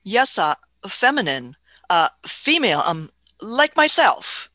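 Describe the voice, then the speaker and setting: Woman playing a bright-voiced, canny, doddering doctor. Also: medium pitch, big pitch swings, slow pace, no echo, exaggerated voice. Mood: bemused, musing. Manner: hesitant, tutting, old-fashioned politeness with chuckles. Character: canny, doddering, politely skeptical traveling doctor. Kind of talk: radio play acting.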